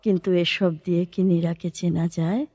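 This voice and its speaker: A woman's voice: on the low side, moderate pace, lightly acted. Woman acting a playful, poetic stage artist. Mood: wistful, lyrical. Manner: lyrical, playful, expressive. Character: poetic, playful, gently challenging female stage performer. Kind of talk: Bengali poetic audio-drama dialogue acting. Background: instrumental background music.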